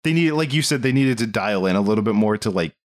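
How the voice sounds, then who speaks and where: A man talking casually as a podcast host, rambling along in an animated, amused way. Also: on the low side, fast. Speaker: film discussion podcast host. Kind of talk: casual movie review chat.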